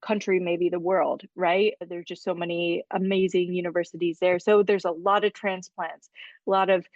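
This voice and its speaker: A woman's voice: on the low side, level tone, moderate pace, darkish tone, no room sound, highs cut off. A woman guest on a podcast, chatting casually and telling stories, laughing often. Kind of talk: casual chat.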